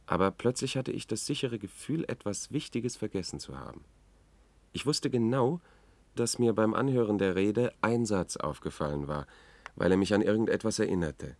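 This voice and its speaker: An actor playing a troubled news editor, recounting events in a measured, reflective way in a 1970s German radio drama. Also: male voice, on the low side, animated, no room sound. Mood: brooding. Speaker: radio play narrator.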